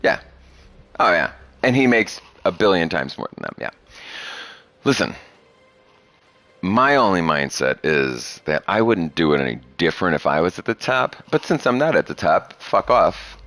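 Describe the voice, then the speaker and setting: A man's voice: deep voice, big pitch swings, moderate pace, pauses often, fairly bright tone, no room sound. A man in his thirties talking bluntly and profanely in a rambling casual podcast chat. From Chicago area. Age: 30s. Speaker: podcast host.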